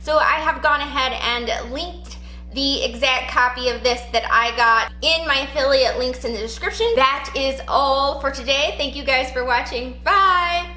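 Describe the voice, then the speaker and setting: A woman's voice: high-pitched, measured pace, bright, forceful tone. A woman online book reader chatting casually to her viewers. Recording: roomy echo with background music.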